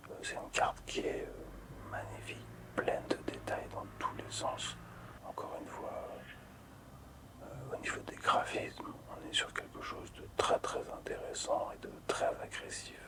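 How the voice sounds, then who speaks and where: A man hosting casual ASMR in a breathy, unvoiced whisper, hushed, hesitant and appreciative. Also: unhurried. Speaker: ASMR video host.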